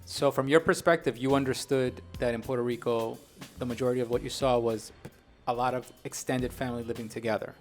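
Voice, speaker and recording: A man from New York co-hosts a relaxed podcast chat, speaking in an easygoing way. He hesitates often, filling pauses with ums and starting sentences over. Faint music sits behind his voice. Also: medium pitch. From New York, of Colombian family. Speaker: podcast co-host. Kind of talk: casual chat.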